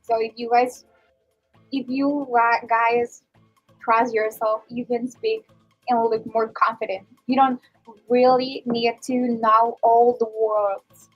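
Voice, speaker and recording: Earnest high-voiced woman co-host. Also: level tone, takes it slowly, lo-fi sound. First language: Spanish. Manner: earnest, encouraging, repeats "I mean". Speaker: student co-host. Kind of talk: casual radio chat. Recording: school radio studio with music bed.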